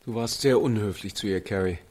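An actor playing a thoughtful, self-doubting man, gentle but reproving, in a German radio play. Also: lowish voice, a little fast, noisy background, lightly acted. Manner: hesitant, thoughtful.

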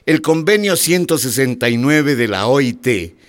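A mid-pitched male village schoolteacher played by an actor in a radio drama, with very lively intonation. He speaks as an earnest community spokesman, formal, principled and persistent. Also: dry recording, natural voice. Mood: insistent. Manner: formal, persistent, measured argument.

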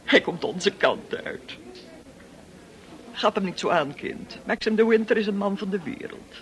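Radio drama: actress as domineering middle-aged snob, pompous and gushing, very lively intonation. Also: female voice, mid-range voice, a little slow, lightly acted. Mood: excited, bossy. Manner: pompous, affected, bossy.

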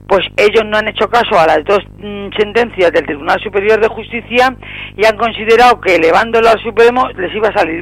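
A woman with an accent from Spain and a gravelly voice, talking spontaneously as an activist guest on radio. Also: medium pitch, poor audio. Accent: Spanish, from Spain. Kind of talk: spontaneous radio interview talk.

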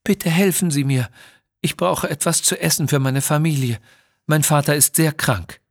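A polite young girl from China, voiced by a man, pleading and earnest. Her voice sits clearly raised, in a clean, dry children's audio story recording. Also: a little high, normal speed, dry recording, pitched above the performer's own voice.

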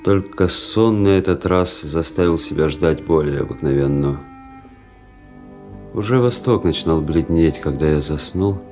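An actor playing a weary, cynical young army officer who narrates a radio drama in a measured, introspective way. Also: male voice, rumbling low, dark tone. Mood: reflective, measured. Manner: introspective, world-weary, measured literary delivery. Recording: music under the voice.

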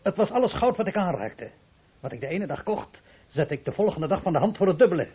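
A man's voice: high voice, sing-song, fast talker, breathy edge, heavily acted. An actor playing a ruined, anxious innkeeper in a radio play, hesitant and rueful as he speaks. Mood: agitated, regretful. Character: dejected, bankrupt innkeeper, anxious and grudging. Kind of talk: Dutch radio play acting. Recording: muffled old radio play recording.

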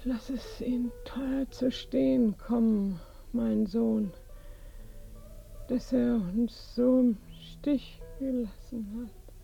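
An actress playing a wistful, bitter dying mother in a radio play, in a husky, breathy, halting voice. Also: a little high, fairly even intonation, mellow, lo-fi sound, full character voice. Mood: bitter, urging. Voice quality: husky, creaky, breathy near-whisper. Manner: very slow, halting, insistent. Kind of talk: German radio play acting.

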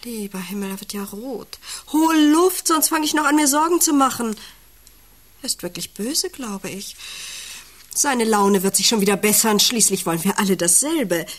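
An actress playing a volatile, possessive wife who coos and commands in a satirical radio drama. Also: female voice, on the high side, lively intonation, normal speed. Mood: bossy, cooing. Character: volatile, possessive wife, by turns cooing, bossy and desperate.

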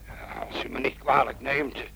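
A man's voice: mid-range voice, steady tone, moderate pace, creaky, bright, forceful tone, slight background noise, rough recording. An actor playing a reluctant policeman who poses as a desperate caller in a Dutch radio play. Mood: reluctant, uneasy. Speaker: police officer.

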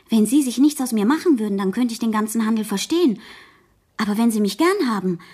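A woman's voice: on the high side, a little mellow, slightly rough. An actress playing an outspoken, proud teenage girl in a German radio play, speaking earnestly and frankly. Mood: pleading, then outraged, hurt.

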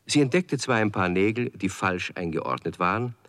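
A man narrating a children's radio play as a storyteller, with expressive, clearly phrased scripted delivery. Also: fairly low pitch, normal speed, dry recording, studio quality. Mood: gentle storytelling. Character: male storyteller of a children's tale.

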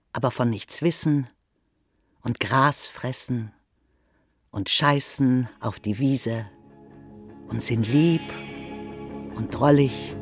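An actor playing a persistent, mockingly polite questioner in a radio play, halting with feigned confusion and sarcastic asides. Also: medium pitch, natural voice. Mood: bitter, mocking. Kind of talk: theatrical radio play monologue.

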